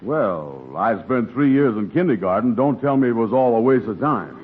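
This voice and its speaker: An actor playing a lazy, slow-witted male pal with naive, drawling dialect speech, in 1940s radio comedy. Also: medium pitch, animated, breathy edge. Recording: tinny old radio broadcast with faint hiss.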